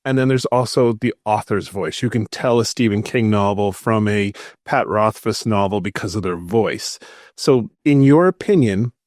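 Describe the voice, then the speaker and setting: A man's voice: lowish voice, normal speed, darkish tone, dry recording, studio quality. A man hosting a podcast interview, framing questions in a conversational way.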